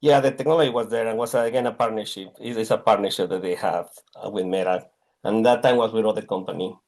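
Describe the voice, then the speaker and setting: A man's voice: on the low side, steady tone, darkish tone. Middle-aged man with a Mexican accent. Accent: Mexican Spanish. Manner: halting, searching for words with many ums and restarts. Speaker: guest, technology executive. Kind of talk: podcast interview answers.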